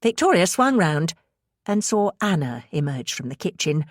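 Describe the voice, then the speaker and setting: A woman's voice: on the low side, sing-song, normal speed. A woman reading scripted suspense fiction as an audiobook narrator.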